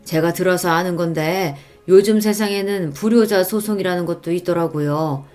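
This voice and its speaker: A woman voicing a kindly veteran taxi driver in a radio story, calm, earnest and reassuring. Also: on the low side, moderate pace, soft background music, lightly acted. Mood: encouraging.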